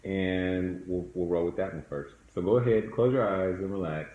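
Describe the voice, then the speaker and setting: A man with a low voice guides someone through a process in plain conversational steps. He is affirming and instructive as a coach and host. The room adds noticeable echo. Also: normal speed, narrow, tinny audio. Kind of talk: conversational coaching, step-by-step guidance. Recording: echoey room.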